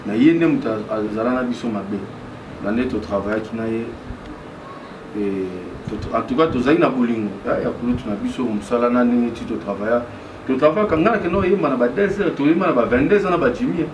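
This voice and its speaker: Halting man, far and echoey. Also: mid-range voice. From DR Congo. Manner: halting, mixes French and Lingala. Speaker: guest. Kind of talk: casual interview talk. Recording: echoey room, far mic, noisy.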